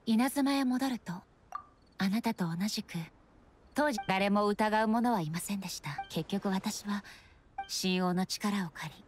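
An actress playing a solemn, gentle warrior woman in a video game, regal and reserved, with polite, formal, measured speech. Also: medium pitch, slight background noise, lightly acted. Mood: calm, polite. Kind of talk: Japanese video game voice acting. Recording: game music behind. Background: game music.

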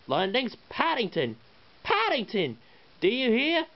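A man's voice: very high voice, wildly swooping pitch, measured pace, voice pushed up, exaggerated voice. A man voicing a small, cocky, stubborn tank engine in an indignant, insistent storybook manner, on a slightly muffled recording.

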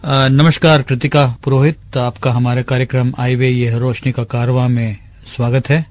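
A man's voice: mid-range voice, little pitch movement, normal speed, soft background music. A man asking questions as a radio interviewer.

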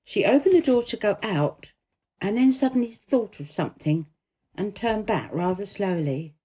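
A woman narrating a children's story as an expressive, scripted reading. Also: mid-range voice, normal speed, slightly rough. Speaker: storybook reader, actor.

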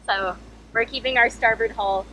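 A woman's voice: on the high side, fairly even intonation, moderate pace, sharp tone. A young woman casually narrating a sailing vlog from the deck of a sailboat, chatty and laughing often as she explains. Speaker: sailing vlogger. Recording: noisy outdoor camera audio, boat and water. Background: boat engine and water noise.

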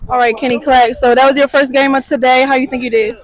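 High-voiced courtside interviewer. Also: flat delivery, fast talker, a crowd in the background, low-quality recording. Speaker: sideline interviewer. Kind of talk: casual interview.

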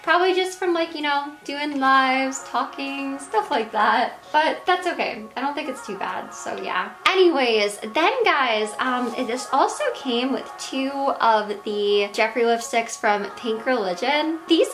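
A woman's voice: high voice, expressive, measured pace, few pauses, fairly bright tone, faint music behind, low-quality recording. A young woman vlogging a makeup haul to camera in a small bedroom, gushing and filler-heavy, her voice slightly strained. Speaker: beauty vlogger. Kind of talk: casual product showcase to camera. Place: small bedroom with plush toys, indoors.

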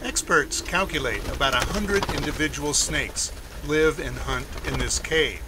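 A man's voice: a little high, expressive, unhurried, heavy background noise. A man narrating a nature documentary in an informative, lightly wry way. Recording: narration over loud music. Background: loud music.

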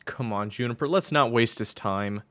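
A man's voice: medium pitch, moderate pace, a bit bright, no echo, pitched above the performer's own voice, close to an everyday voice. A man voicing a teenage girl in a storybook, a loyal friend who is earnest, sassy and teasing. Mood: resigned.

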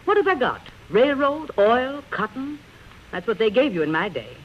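An actress playing an aging, witty, grand stage star in wry, theatrical 1930s radio style. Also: female voice, on the high side, big pitch swings, normal speed. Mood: wry complaining. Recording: dull, noisy 1930s radio broadcast.

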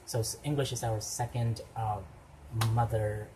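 A man, his Ilonggo, explaining things carefully and then breaking into excited exclamations while reacting to a video through a headset mic in a small room. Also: mid-range voice, a little slow, background noise. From Philippines. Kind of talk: casual reaction commentary.

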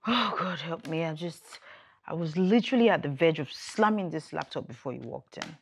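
An actress playing a proud, independent, outspoken widow in a naturalistic screen drama. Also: female voice, low voice, animated, moderate pace, vocal fry, slightly rough, exaggerated voice. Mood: weary, frustrated.

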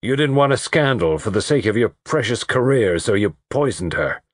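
Man acting a grim, agitated, husky man. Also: medium pitch, lively intonation, takes it slowly, no room sound, clean studio sound, voice pushed up. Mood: accusing, bitter. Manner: heavy, thick, muttering or accusing. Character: grim, agitated, vengeful wealthy older man.

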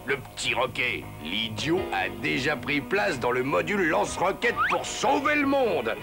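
Boastful cartoon pig, gravelly, lively swings, dim would-be superhero, loud music behind. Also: male voice, fairly high pitch, a little slow, heavily acted. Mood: theatrical menace. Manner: bragging, excitable. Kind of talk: French cartoon dub.

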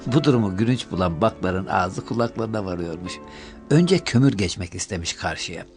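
A man narrating a scripted children's fairy tale as a storyteller, with music behind him. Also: fairly low pitch.

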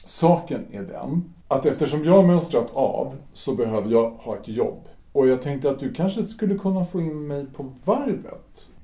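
A man playing a hesitant young ex-sailor in a radio play, speaking in a halting way. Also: on the high side, lively intonation, dark tone, lightly acted. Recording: echoey room.

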